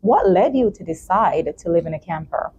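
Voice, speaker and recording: A woman's voice: on the low side, animated, normal speed, a little mellow, lo-fi sound, a little hiss or hum. A woman hosting a talk show, presenting and interviewing in an encouraging way.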